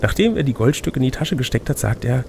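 Male gamebook narrator, medium pitch, creaky, faint noise behind the voice. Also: very animated, quick pace. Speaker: reader and game master. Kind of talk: reading a gamebook aloud with casual asides.

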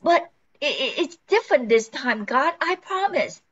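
A slightly muffled, lo-fi recording of an older woman acting a frantic housewife in a radio play. Her voice is high and swings up and down. She is anxious, scatterbrained and pleading. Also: animated, normal speed, a bit bright.

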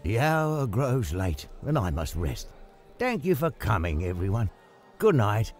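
A man's voice: lowish voice, big pitch swings, unhurried, soft background music. A man voicing a stately older lord, a weary host with formal, courteous storybook delivery. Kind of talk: dramatized storybook dialogue.

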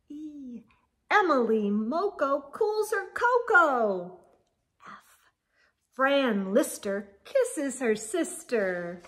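A middle-aged woman reading a picture book aloud to children in an animated, sing-song storyteller manner. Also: high voice. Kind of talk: expressive children's read-aloud. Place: indoor room lined with bookshelves.

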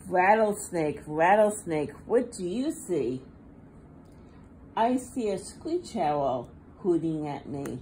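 A woman reading a picture book aloud to young children in an expressive, sing-song manner, recorded indoors at a table. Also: fairly low pitch, takes it slowly. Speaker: children's storybook reader. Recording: faint background room noise.

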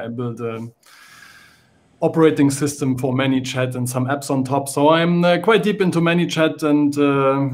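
A man in his thirties with a German accent, a panelist on a video call, speaking hesitant English with many fillers. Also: mid-range voice. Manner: hesitant, filler-heavy non-native English. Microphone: video call webcam.

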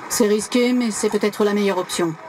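An actress playing a businesslike supersoldier scout in a video game, reporting briskly. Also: female voice, medium pitch, natural voice. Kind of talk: video game character acting. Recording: noisy background.

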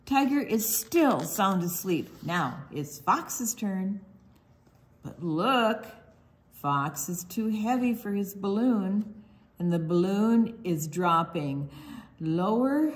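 Animated, unhurried woman reading to children. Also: medium pitch. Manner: expressive, drawn-out words, hushed asides. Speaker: children's storytime reader. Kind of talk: animated picture-book read-aloud to young children.

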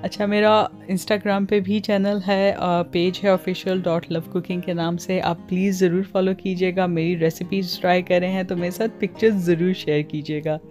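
A Pakistani-accented woman narrates a step-by-step recipe as a cooking host. Her voice sits a little low for a woman. She slips English words and phrases into her sentences, with faint music playing underneath. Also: level tone, moderate pace, hardly any pauses, darkish tone. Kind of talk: recipe tutorial voice-over.

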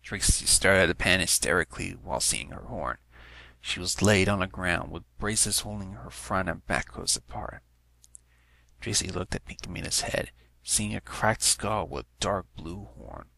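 Low creaky man reading a story. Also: a little slow, sharp tone, no room sound. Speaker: narrator. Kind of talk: scripted story reading of fan fiction.

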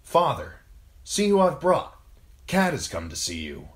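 A man's voice: lowish voice, expressive, a little slow, fairly bright tone, voice pushed up. A man voicing an earnest, troubled lordly brother in an audiobook, with a grave and emphatic manner. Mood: gentle, eager. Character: grown lordly younger brother, earnest, troubled by his father's decline.

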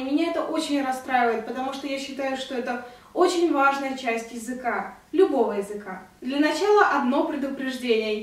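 A woman's voice: sits high, very echoey, far from the mic. A young woman in her twenties from Russia, earnestly explaining her thoughts to the camera in a casual vlog with her hands moving. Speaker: vlogger. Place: indoor room at home.

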